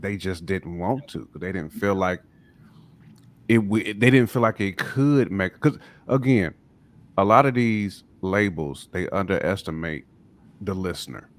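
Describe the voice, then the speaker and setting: An American man with a fairly low voice talks as a panelist on a music podcast. He is casual but opinionated, leaning on key phrases and repeating them for emphasis. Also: normal speed. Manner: emphatic, repeats his points. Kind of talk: casual chat, opinionated discussion.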